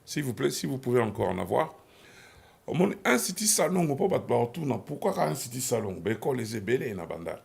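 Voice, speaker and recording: A man's voice: mid-range voice, very animated, a little fast, creaky, darkish tone. A man hosting a TV talk show, chiming in often with short agreements and closing the segment. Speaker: TV host.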